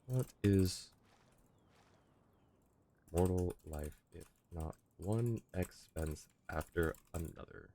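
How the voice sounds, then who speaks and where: A man's voice: deep voice, takes it slowly, long pauses, warm tone. A man giving casual, wry livestream commentary on a game in an informal way. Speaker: game streamer.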